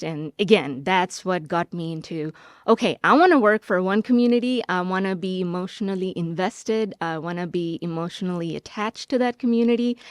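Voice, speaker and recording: A woman's voice: lowish voice, smooth, no room sound, studio quality. A woman from Bangladesh, a city planning director as a podcast guest, speaking earnestly and reflectively with searching restarts. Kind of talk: podcast interview.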